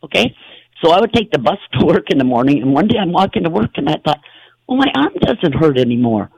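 A man's voice: on the high side, sing-song, fast, no echo, muffled recording. A man hosting a talk show, telling stories in a rambling, casual way with many fillers and restarts. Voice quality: gravelly, husky.

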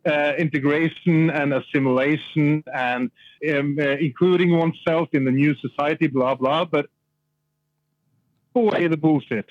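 A man's voice: a little high, steady tone, normal speed, dry recording. A middle-aged man with a Norwegian accent, a guest speaking candidly and plainly, repeating words as he thinks. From Norway. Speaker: podcast guest. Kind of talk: casual conversation. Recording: tinny phone-line sound.

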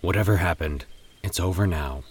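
A man doing a calm, soothing man. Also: lowish voice, normal speed, slight background noise. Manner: soothing, steady. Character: calm reassuring adult man.

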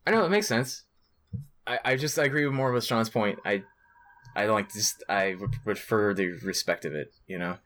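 A man's voice: fairly low pitch, moderate pace, fairly bright tone. A man chatting casually as a podcast co-host in a rambling, informal, swearing way. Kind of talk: casual gaming podcast chat.

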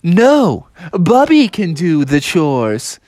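A storyteller voicing a father bear who gives firm, slow orders. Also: medium pitch, huge pitch swings, a little mellow, dry recording, clean studio sound, pitched above the performer's own voice, exaggerated voice.

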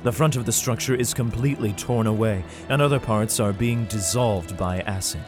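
Low-voiced, grave father in a sci-fi drama acted by a man. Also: normal speed, natural voice. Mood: grim. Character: weary father fleeing with his son through a besieged hive city. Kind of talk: first-person audio drama narration with acted dialogue. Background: music.

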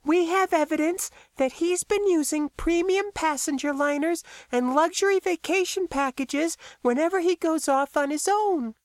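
A storybook narrator voicing a clever, excitable young woman who speaks eagerly and precisely. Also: very high-pitched, moderate pace, hardly any pauses, dry recording, clean studio sound, voice pushed up. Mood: earnest.

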